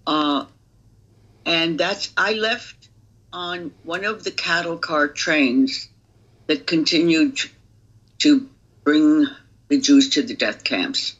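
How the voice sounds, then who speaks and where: A woman in her eighties from Romania giving personal testimony as an interview guest, grave, deliberate and halting. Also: deep voice, dull audio. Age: 80s.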